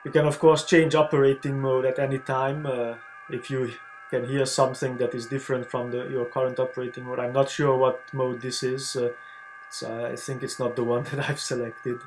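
A man talking through an unscripted software screencast tutorial in a hesitant, halting way, as a video blogger would. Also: medium pitch, little pitch movement, over music, rough recording. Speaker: ham radio video blogger demonstrating software. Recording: clear background noise with hum.

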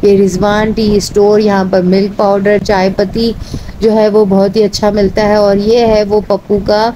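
A woman's voice: mid-range voice, normal speed, slight background noise, low-quality recording. A woman with a Pakistani Urdu accent, narrating a market tour and listing goods and prices one by one. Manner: steady, listing items one by one. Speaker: vlogger. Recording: music bed underneath.

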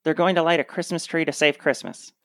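Radio comedy: incredulous male commenter man acting, snarky, higher register, dry recording. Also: fairly even intonation, a little fast, a bit bright, dry recording.

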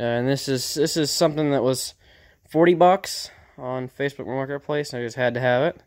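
A man giving a casual, off-the-cuff narration as a hobbyist repairer, speaking informally and haltingly into a handheld camera in an indoor workshop. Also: medium pitch, clear, resonant tone. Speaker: vintage TV repair hobbyist narrating his video.

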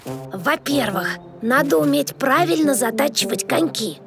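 A woman's voice: high voice, lively intonation, a little slow. A woman voicing a sensible, earnest young boy polar bear cub in a children's cartoon, talking like a little instructor. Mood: instructive. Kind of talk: animated children's cartoon acting. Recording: music behind the dialogue.

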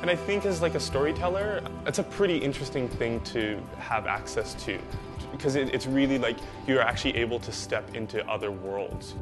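A man's voice: mid-range voice, expressive, a little fast. A man from Banff, a film student speaking casually and earnestly in an interview testimonial. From Banff, Canada. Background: music.

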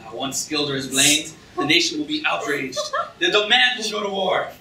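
A man's voice: a little high, animated, moderate pace, bright, forceful tone. A man playing a smug, treacherous prince in a theatrical, self-satisfied manner at a staged reading. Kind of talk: staged reading of a comic play. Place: auditorium stage, recorded from the audience. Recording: echoey hall, far camera, room noise.

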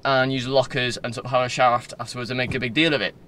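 Bright-voiced young Northern English man chatting. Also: medium pitch, flat delivery, very fast. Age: 20s. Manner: informal, loose, digressive. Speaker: vlogger. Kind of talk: casual rambling vlog chat. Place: inside a parked car. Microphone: phone at arm's length. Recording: faint car-interior background noise.

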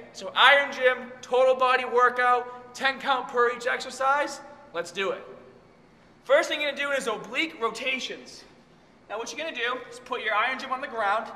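A male fitness trainer talks slowly and leads a home workout, counting every rep aloud while doing it himself and straining for breath. His voice sits very high and sounds sharp and tense. The recording is echoey, with a long reverb tail in the room. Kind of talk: workout instruction.

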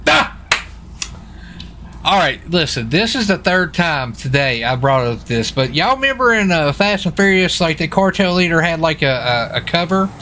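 A man's voice: fairly high pitch, normal speed. A man chatting casually in a roleplay game as a US Marshal, rambling and repeating himself. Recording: steady game vehicle noise behind voice. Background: game car and city sounds.

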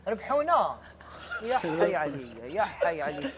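A man's voice: fairly high pitch, sing-song, normal speed, a crowd in the background. A middle-aged man with an Algerian accent, hosting a TV game show on a big studio stage and teasing the contestants.

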